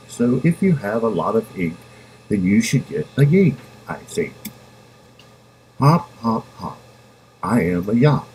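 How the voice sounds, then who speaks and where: A man reading a children's rhyming book aloud in a playful, sing-song manner with drawn-out words. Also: on the low side, darkish tone, poor audio. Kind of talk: expressive storybook read-aloud in rhyme. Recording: home recording with background noise.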